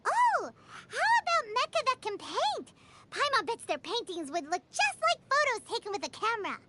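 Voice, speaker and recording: A woman voicing a small, childlike, self-important flying fairy companion in a video game, squeaky and exclaiming. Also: sing-song, moderate pace, noisy background. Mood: excited. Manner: refers to self in third person, exclaiming. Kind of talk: scripted video game dialogue. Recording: music behind the voice.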